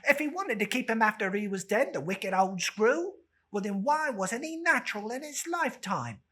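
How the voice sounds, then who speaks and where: A woman's voice: fairly high pitch, animated, moderate pace, a bit bright, husky, voice pushed up. A woman voicing a brazen, defiant working-class charwoman with a scolding manner in a dramatic storybook reading. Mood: scornful, indignant.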